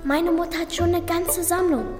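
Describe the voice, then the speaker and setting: Eager young classmate, high voice, children's radio play, echoing reverberant space, music. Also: female voice, steady tone, moderate pace, darkish tone. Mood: friendly. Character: friendly, eager school-age classmate.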